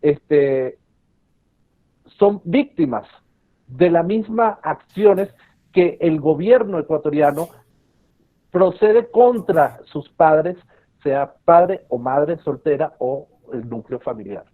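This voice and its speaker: A man's voice: fairly high pitch, lively intonation, takes it slowly, pauses often. A man from Caracas with a Venezuelan accent, a civil association representative giving an earnest, hesitant advocacy statement full of fillers over a video call. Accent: Caracas Venezuelan Spanish. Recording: tinny phone-like line.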